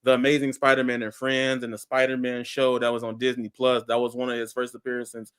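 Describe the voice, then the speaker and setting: A man's voice: mid-range voice, monotone, brisk, a bit bright, clean tone. A man talking as a guest on a casual panel show in a mid-range voice. He hesitates, fills gaps with "um," and keeps checking in with his listeners. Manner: hesitant, fillers and checking "right?".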